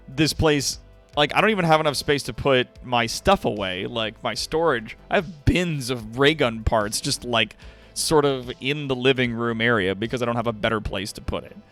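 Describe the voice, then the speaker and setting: A man's voice: mid-range voice, moderate pace, a bit bright, soft background music. A man hosting a livestream, giving a dramatic reading of fan fiction with wry, sweary asides to viewers. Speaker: livestream host.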